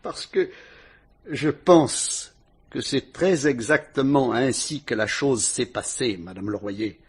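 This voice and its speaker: An actor playing a shrewd police inspector who questions a suspect with dry irony, in a vintage radio drama. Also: male voice, mid-range voice, slow pace, slightly rough. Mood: probing, ironic. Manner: sly, probing, mocking interrogation.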